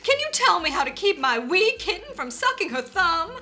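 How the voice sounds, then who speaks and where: A woman voicing a fretful, dramatic mother cat with meows and drawn-out exclamations, for a children's storybook. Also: very high voice, very animated, sharp tone, faint music behind, full character voice. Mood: pleading.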